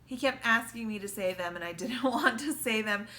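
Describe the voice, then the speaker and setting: A woman's voice: a little high, normal speed, bright, forceful tone, a little hiss or hum. A woman in her thirties from the United States, chatting and teasing as she tells how she met her husband. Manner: chatty, teasing, giggles often. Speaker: wife telling how they met. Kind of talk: casual storytelling chat.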